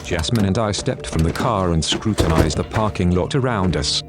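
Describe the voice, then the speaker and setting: A man playing a serious, wary police detective with a measured delivery in a scripted audio drama. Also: low voice, over loud music, natural voice. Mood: wary, brooding. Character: dutiful, wary male police detective telling his story.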